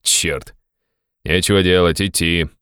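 A man voicing a gruff, bossy, impatient visitor who grumbles and bellows in an audiobook of a classic novel. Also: deep voice, fairly even intonation, slow pace, fairly bright tone, dry recording, clean studio sound. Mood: irritated.

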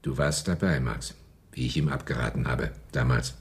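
Casting: knowing, disapproving Nazi official, radio play; exceptionally low, grave bass. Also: male voice, normal speed. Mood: grave, sardonic. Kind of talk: German radio drama acting.

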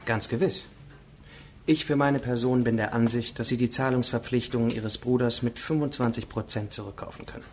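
Obliging, businesslike man, acted, mid-pitched. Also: steady tone, a little fast, a little hiss or hum, natural voice. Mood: assured, advising. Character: eager, businesslike nephew, a provincial court president. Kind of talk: German radio play acting.

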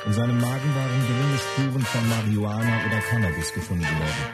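A man's voice: mid-range voice, fairly even intonation, fast, natural voice. A male actor playing a solemn, declamatory narrator in a scripted radio documentary. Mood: matter-of-fact. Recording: loud music behind the voice.

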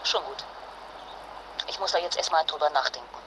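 A woman's voice: deep voice, moderate pace, background noise, close to an everyday voice. An actress playing a determined, insistent wife and mother in a naturalistic radio drama, blunt and urgent. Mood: upset, resigned. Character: determined, jealous wife and mother fleeing nuclear fallout.

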